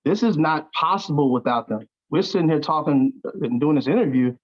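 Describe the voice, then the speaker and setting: A man's voice: a little high, brisk, muffled recording. A man speaking as an actor in an interview, earnest and conversational, often repeating words as he searches for the next phrase. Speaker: stage actor interviewed as a guest.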